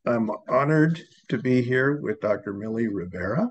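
A man's voice: medium pitch, lively intonation, normal speed, highs cut off, slight background noise. A middle-aged man on a video call, formally introducing a guest and asking prepared interview questions from a room at home. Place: home room with framed pictures, indoors. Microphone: computer webcam on video call.

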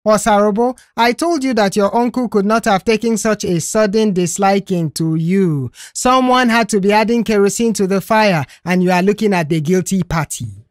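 A woman voicing a shrill, combative, aggrieved mother in an audio story. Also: normal speed, a little mellow, dry recording, clean studio sound, lightly acted. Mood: accusing.

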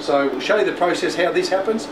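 Male tour host, 50s, chatty explainer, slightly high voice, recorded off-mic amid heavy machinery noise. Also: fast talker. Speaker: host presenter. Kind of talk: casual explanatory factory tour presenting. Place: indoors in a large factory and workroom. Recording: echoey factory, loud machine hum, distant mic.

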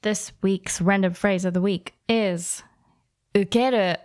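Smooth-voiced woman teacher co-host. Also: mid-range voice, fairly even intonation, slow, no room sound, clean studio sound. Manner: laughs often, short warm replies, explains slang. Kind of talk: casual language-lesson podcast chat.

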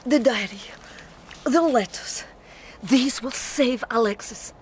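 A proud, tragic exile in a radio drama. Her voice is high-pitched, with solemn and deliberate phrasing. She sounds resolute and doomed. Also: slight background noise. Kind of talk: BBC radio drama acting.

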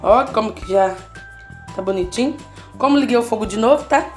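A woman's voice: fairly high pitch, a little fast, soft background music. A woman with a Brazilian Portuguese accent hosting a casual home cooking tutorial, chatting to her viewers as she cooks in her kitchen. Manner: chatty, constantly checking in with the viewer. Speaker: cooking channel host.

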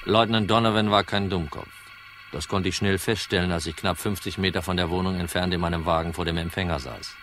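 A deep-voiced, wry detective. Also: male voice, steady tone, a little fast, a bit bright, a little hiss or hum. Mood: matter-of-fact. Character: cool, wry male insurance detective narrating his case. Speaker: actor, narrator and lead. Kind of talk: German crime radio drama, first-person narration.

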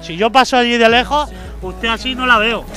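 A man's voice: very high voice, normal speed, bright, forceful tone, faint music behind. A man, a beach reporter doing playful mock-official interviews outdoors with a handheld mic. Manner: playful fake-official scolding. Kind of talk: mock-serious street interviews.

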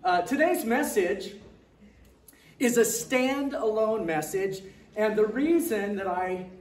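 An older man preaching a sermon as pastor on a large church stage, projecting his voice loudly with a joking manner. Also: high-pitched. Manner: jokey, loud projecting preacher. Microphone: far camera, handheld mic. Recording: roomy sound from far off.

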